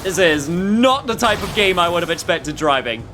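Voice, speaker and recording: Lively, higher-voiced man streaming a game. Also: normal speed, background noise. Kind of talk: casual gameplay commentary. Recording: faint game music behind.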